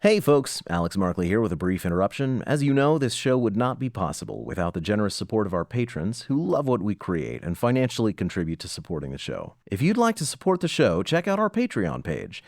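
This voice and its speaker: A man's voice: on the low side, big pitch swings, fairly quick, few pauses, darkish tone, no room sound, clean studio sound. A man hosting and narrating a comic audio drama in scripted style. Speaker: host and narrator. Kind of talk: scripted story narration and announcements.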